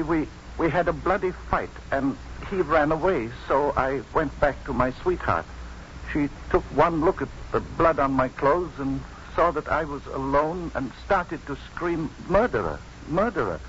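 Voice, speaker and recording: Mild, plain-spoken ex-convict, 1950s radio play. Halting delivery, higher register, light hiss. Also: male voice, animated, a bit bright. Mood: earnest, halting. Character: slow, simple, mild-mannered male ex-convict, earnest storyteller. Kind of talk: 1950s radio drama acting. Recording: muffled, noisy 1950s radio broadcast.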